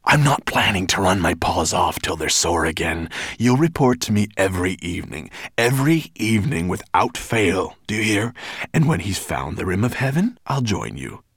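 A cruel storybook dragon, growling and gravelly. He is imperious and scornful, and his delivery swings widely as he menaces and commands his servants. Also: deep voice, very animated, measured pace, dry recording, clean studio sound, heavily acted. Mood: commanding. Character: cruel, imperious, raging golden dragon master, male.

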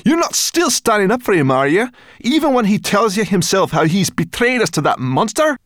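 A man's voice: sits high, sing-song, moderate pace, a bit bright, no room sound, studio quality, voice pushed up. A man voicing a hot-tempered female forest creature who hurls angry accusations. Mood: furious.

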